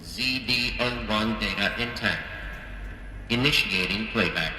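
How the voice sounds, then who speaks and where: A man's voice: lowish voice, unhurried, noisy background, natural voice. A man voicing a polite, impersonal facility computer in a video game, making formal automated announcements. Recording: echoey hall, music behind.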